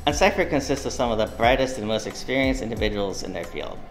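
A man's voice: medium pitch, animated, fast, echoey room, faint music behind, rough recording. A man in his forties reading a scripted letter in an indoor lab, confident and punchy with a dry edge. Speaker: company spokesman.

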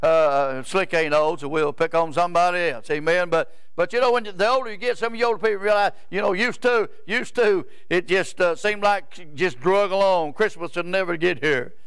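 A man's voice: high voice, moderate pace, hardly any pauses, a bit bright, clear, resonant tone, dry recording. A man, preaching a rambling, folksy sermon in a church sanctuary and often stopping to ask "Amen?". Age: 70s. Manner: rambling, repeats words, asks "Amen?" of the crowd.

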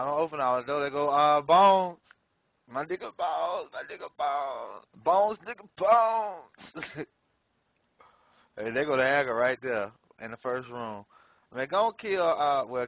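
A man's voice: medium pitch, expressive, measured pace, fairly bright tone, no echo, lo-fi sound. A man casually commenting on his gameplay in a slangy, profane way, laughing often. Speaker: gameplay streamer.

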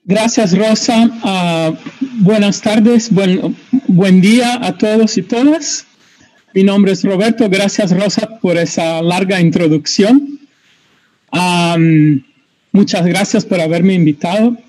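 A man's voice: sits high, takes it slowly, darkish tone, rough recording. A middle-aged Brazilian man, a native Portuguese speaker, giving a panel talk in Spanish over a video call, hesitant and repeating words as he searches for them. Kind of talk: online conference talk.

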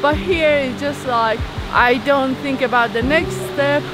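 Travel vlogger, woman, outdoors on a trail, slow and upbeat, music bed behind. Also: high voice, poor audio. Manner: enthusiastic, exclaims at views. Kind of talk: casual vlog narration while hiking.

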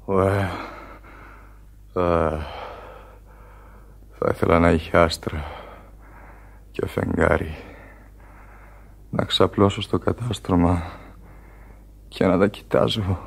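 A dying sailor, low and very husky, gravel in every phrase. He is weak and frightened, speaking haltingly and pleadingly, often sinking toward a whisper. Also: exaggerated voice. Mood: wistful, fearful. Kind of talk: radio drama acting.

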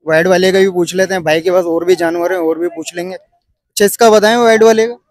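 A man in his thirties from Pakistan with a Karachi Urdu accent, filming a casual walkthrough of an outdoor cattle market on his phone and pressing sellers for lower prices. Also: fairly even intonation, a little fast, no echo. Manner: chatty, pushes for a lower price. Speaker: vlogger.

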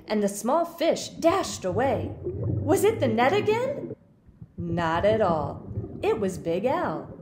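Woman, children's storybook read-aloud, highly animated pitch, dramatic pauses, noticeable background noise. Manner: dramatic pauses and emphasis for young listeners. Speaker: storybook reader. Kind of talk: expressive children's picture-book read-aloud.

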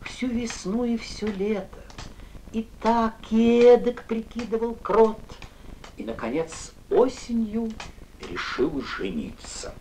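Old cartoon storyteller, slow narration, lots of melody in the voice, noisy old soundtrack. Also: female voice, fairly high pitch, sing-song. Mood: measured. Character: measured female fairy-tale storyteller. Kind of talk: slow fairy-tale storytelling.

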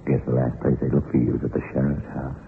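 A man's voice: extremely low pitch, level tone, fast, gravelly, faint music behind. An actor playing an older, weary, guilt-ridden small-town sheriff and hangman in a folksy, brooding radio drama. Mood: quietly conspiratorial. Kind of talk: old-time radio drama acting. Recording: tinny, hissy old radio recording.

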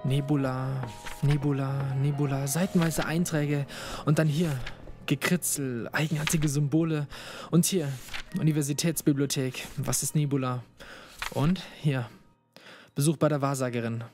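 An actor playing a hesitant young student investigating a disappearance, stumbling over fillers, in a fan audio drama. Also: male voice, on the high side, steady tone, moderate pace, over music, lightly acted.

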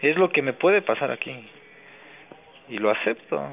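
A Mexican man talking informally on a phone call, slangy, halting and resigned. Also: a little high, very animated, gravelly. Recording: noisy, narrow-band phone call.

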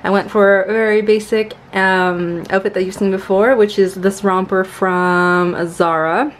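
A cheerful young woman in her twenties chats to her camera from a small bedroom, her voice at an ordinary middle pitch. She rambles in a friendly, upbeat way, with laughter dropping in between thoughts. A faint hiss of room noise sits under the handheld recording. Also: fairly even intonation, measured pace. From Montreal, Canada. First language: English. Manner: chatty and upbeat, laughs often. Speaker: lifestyle vlogger. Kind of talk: casual vlog chat to camera. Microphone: handheld camera at arm's length.

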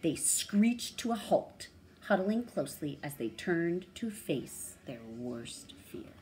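A woman's voice: fairly low pitch, sing-song, takes it slowly, warm tone, slight background noise, rough recording. A woman in her thirties or forties reading a storybook aloud and explaining words. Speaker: read-aloud host. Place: small room indoors.

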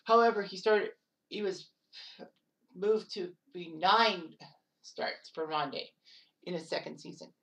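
A woman in her thirties narrating sports history casually, rambling with offhand asides and quick self-corrections. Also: fairly low pitch, a bit bright, distant mic, poor audio. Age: 30s.

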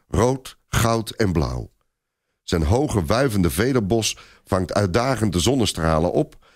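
A male audiobook narrator with a fairly low voice reads a scripted tale dramatically. His pitch rises and falls widely, giving the narration an animated shape. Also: no echo, studio quality.

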